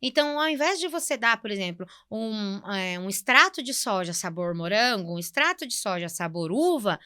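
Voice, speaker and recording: A woman's voice: mid-range voice, expressive, normal speed, sharp tone, clear, resonant tone, dry recording, clean studio sound. A woman with a Brazilian accent giving didactic, conversational explanations as a podcast guest, often acting out short example remarks. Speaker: guest nutritionist on a health podcast.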